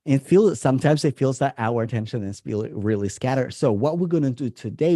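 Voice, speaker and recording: A man in his thirties with a Russian accent coaches an online class in an informal way. His tone is dark and mellow, at an ordinary male pitch. He often circles back to restate a phrase, and the sound is dry and close, with little room in it. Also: no echo. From Moscow, Russia. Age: 30s. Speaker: business coach hosting a masterclass.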